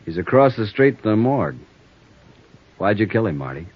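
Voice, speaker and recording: A man's voice: fairly low pitch, sing-song, moderate pace. An actor playing a blunt, world-weary male police sergeant, in 1950s radio-drama style on an old recording. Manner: blunt, plain-spoken. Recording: dull old radio recording with faint hiss.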